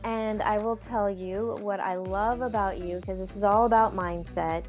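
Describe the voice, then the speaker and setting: Woman podcast host, mid pitch, upbeat interviewer, faint background music. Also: fairly even intonation, normal speed, a little mellow. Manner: enthusiastic, encouraging. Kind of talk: conversational interview.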